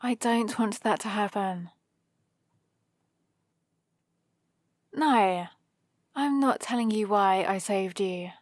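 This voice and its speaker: A British woman playing a proud, scheming supervillain with a soft spot, in a teasing, intimate ASMR roleplay. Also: mid-range voice, unhurried, dry recording, close to an everyday voice. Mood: reluctant concern. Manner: teasing, sardonic, casually conversational. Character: proud scheming female supervillain, gruffly caring for a rescued hero.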